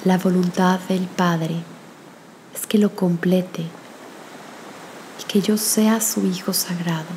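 A woman leading a guided spiritual meditation in a gentle, soothing manner with long pauses. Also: lowish voice, slight background noise, rough recording.

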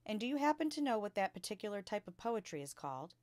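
A woman reading a storybook as a kind, gentle young female schoolteacher, encouraging and questioning. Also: medium pitch, very animated, fast talker, no room sound, voice pushed up, natural voice.

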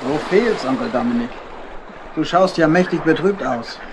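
A man playing a friendly acquaintance in a children's audio play, consoling and gently scolding. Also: fairly high pitch, expressive, normal speed, close to an everyday voice. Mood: reassuring, chiding. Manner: easygoing, consoling. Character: friendly male acquaintance, reassuring, mildly scolding. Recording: noisy old record with ambience.

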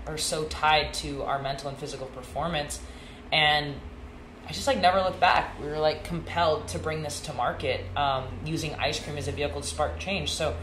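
A woman's voice: sits low, a bit bright. Chatty woman founder, echoey sunlit room. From Hong Kong. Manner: chatty, many ums and likes. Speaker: company founder hosting podcast. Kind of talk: casual storytelling. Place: sunny glass-walled room, indoors. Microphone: small desk mic on stand. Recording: echoey room, faint hiss.

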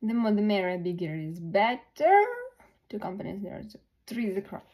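A woman tutoring test preparation, thinking aloud through questions slowly with many pauses and fillers. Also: medium pitch, warm tone.

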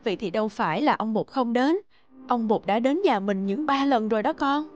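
A woman voicing a gentle, wise mother who explains things patiently and tenderly in an animated fairy tale. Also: a little high, normal speed, muffled recording, soft background music, natural voice.

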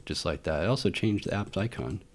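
Man, low pitch, casual screen walkthrough, tech hobby host, informal, rambling, thinking aloud. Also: expressive, a little fast. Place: indoor voice-over for a screen recording.

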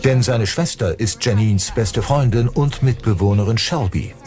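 A man's voice: medium pitch, moderate pace, darkish tone. A man reading a scripted true-crime documentary narration. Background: music behind the voice.

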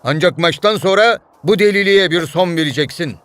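Bright-voiced flustered scolder. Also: male voice, sits high, a little fast, slight background noise. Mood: scolding.

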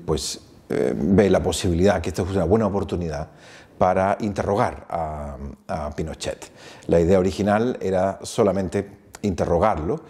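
A man in his fifties from Chile with a Chilean accent, giving a recorded lecture to camera on a lapel mic, measured and full of "eh" pauses. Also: low voice, dark tone. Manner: measured, many "eh" pauses, gesturing hands. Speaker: foundation director, lecturer. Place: small bright office with blinds, indoors.

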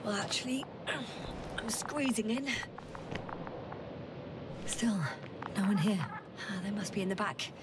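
A weary woman scientist in a sci-fi game, played by an actress, speaks in a solemn, breathy murmur. Her voice is mid-pitched and a little off the mic, under very loud rumbling noise. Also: slow, long pauses, distant mic, natural voice. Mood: tense, focused. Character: weary young woman scientist exploring an alien planet. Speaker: space mission crew doctor. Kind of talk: dramatic video game voice acting.

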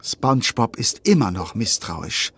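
A man's voice: medium pitch, very animated, unhurried, fairly bright tone, slightly rough, heavily acted. A man narrating a children's audio play in a wry storytelling manner, speaking to the listener.

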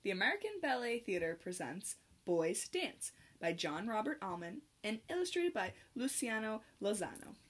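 A young adult woman reading a rhyming picture book aloud to children in an expressive, sing-song manner with clear phrasing. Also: mid-range voice, normal speed, hardly any pauses. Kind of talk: children's read-aloud, rhymed storytelling.

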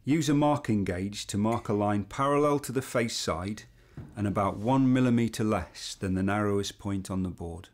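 A man giving measured, step-by-step woodworking instruction as a scripted studio voice-over. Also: on the low side. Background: music.

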